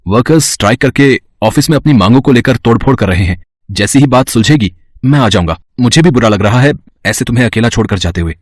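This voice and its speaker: A man's voice: medium pitch, no room sound, studio quality, lightly acted. Man acting an earnest young bridegroom. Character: earnest young bridegroom, dutiful office worker.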